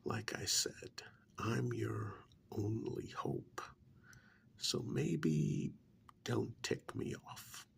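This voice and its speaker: A man playing a smug, menacing agent of a vampire in a soft-spoken ASMR roleplay, with a breathy, gravelly half-whisper and deliberate, condescending delivery. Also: medium pitch, exaggerated voice. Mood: coolly menacing. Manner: slow, deliberate, condescending, with pauses. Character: smug, menacing vampire's male agent interrogating a captured hunter.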